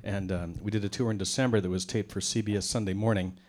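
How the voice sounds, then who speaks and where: A man's voice: lowish voice, fast talker. Wry middle-aged man chatting onstage. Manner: relaxed, wry, chatty with fillers. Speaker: banjo player. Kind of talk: onstage banter between tunes. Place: concert stage before a live audience. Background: banjo music.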